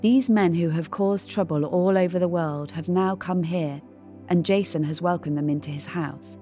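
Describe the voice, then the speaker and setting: A woman reading a scripted devotional in a measured, reflective manner. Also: fairly low pitch, dark tone, soft background music. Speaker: devotional reader.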